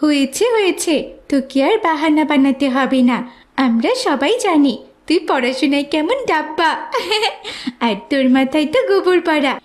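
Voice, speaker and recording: A woman's voice: high-pitched, expressive, measured pace. A woman voicing a mean, mocking schoolgirl bully with a sneering, taunting way of talking, in a moral cartoon for children.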